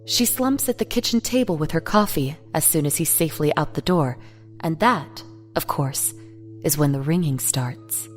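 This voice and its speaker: A woman's voice: low-pitched, lively intonation, normal speed. A woman reading scripted, dramatic fiction narration in the present tense, with music behind her. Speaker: story narrator.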